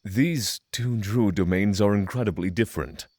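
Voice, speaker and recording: A man playing a solemn, courteous dragon lord in a fantasy audio drama, speaking in formal, measured phrases. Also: on the low side, darkish tone, no room sound, clean studio sound, natural voice. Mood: reserved. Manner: formal, measured, thoughtful diction.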